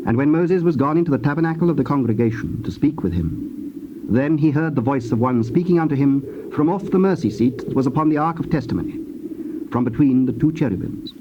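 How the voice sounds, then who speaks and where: A man with a British accent reads scripture as a formal narrator, measured and precise. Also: medium pitch, animated. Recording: dull, noisy old cassette tape.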